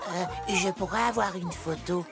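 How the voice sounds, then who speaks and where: A woman voicing an eager, naive, timid young male hedgehog in a children's cartoon, earnest and excitable. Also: on the low side, moderate pace, creaky. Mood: shy, admiring. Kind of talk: French children's cartoon dialogue. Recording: music under the voice.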